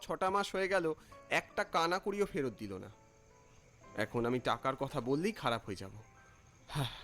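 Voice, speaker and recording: A man playing an irritable, thrifty office worker, telling his eerie evening as an expressive first-person cartoon story. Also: on the high side. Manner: expressive, exclaiming and questioning in dialogue. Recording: music bed behind the voice. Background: music.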